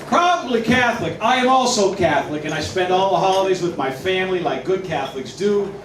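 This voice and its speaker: Boston-accented comedian, high lively voice. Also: male voice, brisk, a loud crowd. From Boston, Massachusetts. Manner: wry, self-deprecating, punchy delivery with loud mock announcer shouts. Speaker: stand-up comedian. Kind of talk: stand-up comedy set. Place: Mexican restaurant, indoors. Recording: echoey room, distant mic, audience noise.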